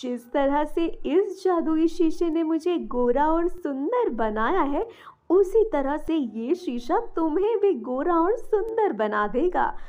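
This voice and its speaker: A woman voicing a mysterious sorceress with a magic mirror, in slow, drawn-out, incantatory speech. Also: high-pitched, animated, a little mellow. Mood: mysterious, beckoning.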